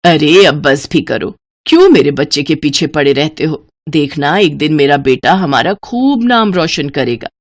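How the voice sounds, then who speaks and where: Woman putting on a lowered-voice protective mother. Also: animated, a little slow. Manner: chiding, indulgent. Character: doting village mother, adult woman, protective of son.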